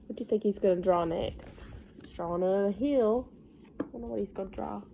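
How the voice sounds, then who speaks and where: A woman's voice: medium pitch, brisk, gravelly, dark tone. A woman reading a picture book aloud to young children like a teacher, breaking off for casual asides, simple explanations and questions.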